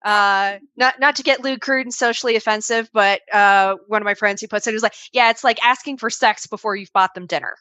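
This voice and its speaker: A woman's voice: mid-range voice, brisk, hardly any pauses, bright, forceful tone, smooth, no echo. A woman on a video call giving conversational advice on training assistants, often acting out quoted examples. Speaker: panel guest advising on assistant training.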